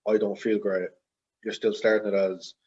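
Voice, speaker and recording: A man's voice: on the low side, flat delivery, moderate pace, a little mellow, breathy edge, muffled recording. A man, a podcast guest talking casually in a rambling way full of fillers. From Ireland. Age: 20s. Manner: rambling, heavy with fillers and hedges. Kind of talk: casual interview chat.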